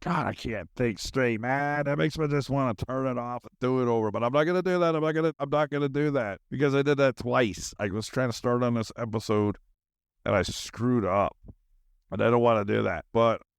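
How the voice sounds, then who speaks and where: A man's voice: medium pitch, brisk, gravelly, clear, resonant tone, no room sound, studio quality. A middle-aged man hosting a solo podcast, tired and zoned out, rambling casually in slangy, meandering talk with trailing thoughts.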